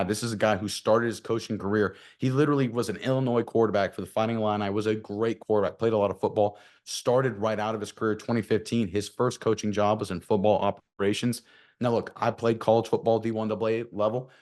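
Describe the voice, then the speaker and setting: Hoarse dude with a lowish voice, talking football, keeps hammering the same point. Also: male voice, a little mellow. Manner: emphatic, repeats key phrases. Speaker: sports show co-host. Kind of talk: opinionated commentary.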